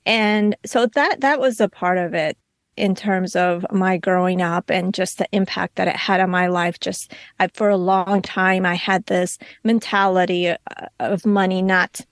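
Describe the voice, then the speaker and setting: A woman's voice: fairly low pitch, steady tone, measured pace, no room sound, studio quality. A woman in her forties from Colombia, a Spanish native speaker, telling her story as a podcast guest in a reflective, restarting way. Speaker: podcast guest, financial counselor. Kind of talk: casual interview conversation.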